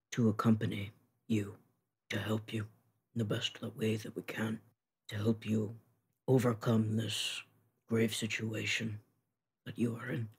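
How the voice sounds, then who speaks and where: A man playing a solemn, dutiful companion in a tabletop game, husky, measured and formal. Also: fairly low pitch, exaggerated voice.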